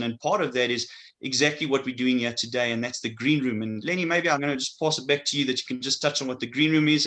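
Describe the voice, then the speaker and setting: A man's voice: medium pitch, sharp tone. A man from Africa, giving an upbeat, salesy introduction as co-host of an immigration webinar. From South Africa. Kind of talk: scripted-sounding promotional intro.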